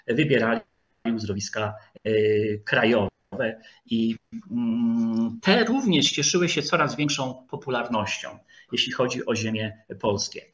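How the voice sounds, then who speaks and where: A dull, lo-fi video call recording carries a man lecturing at a middling pitch. He speaks formally and politely, addressing his listeners directly. His delivery is halting, with many hesitation sounds and pauses while he looks for the next word. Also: a bit bright. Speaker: lecturer, historian. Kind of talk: online lecture with slides.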